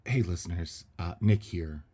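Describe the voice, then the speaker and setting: A man with a fairly low voice speaks as a podcast co-host. His delivery is casual and conversational, full of effusive thanks. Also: moderate pace, background noise. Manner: effusive, grateful. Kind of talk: casual chat.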